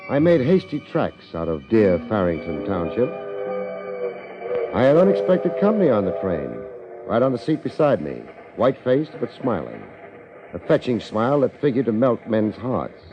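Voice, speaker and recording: An actor playing a wry, hardboiled American private investigator, wisecracking drily in a 1950s radio detective drama. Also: male voice, on the low side, very animated, normal speed, over music. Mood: wry narration. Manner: wisecracking, dry, sardonic. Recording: muffled 1950s radio recording.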